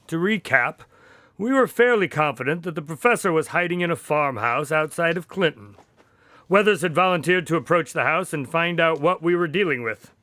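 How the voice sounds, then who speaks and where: An actor playing an indignant, excitable detective's partner who tells stories in a radio drama. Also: male voice, a little high, no room sound, natural voice.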